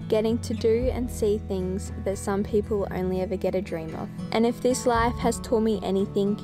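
A teenage girl reading a calm, heartfelt voice-over narration. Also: medium pitch, moderate pace. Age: teens. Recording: music underneath.

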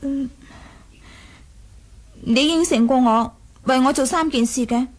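A woman's voice: high-pitched, takes it slowly. A woman playing a proud, coquettish young Mongol princess in a radio drama, teasing and imperious with a wistful streak. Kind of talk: Cantonese 1980s radio drama acting.

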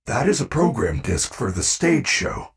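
A man's voice: mid-range voice, big pitch swings, unhurried, breathy edge, full character voice. A man voicing a friendly, protective animatronic bear in a video game, speaking earnestly in formal, precise phrases. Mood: encouraging, concerned. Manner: formal, precise diction without contractions, earnest. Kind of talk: video game character acting.

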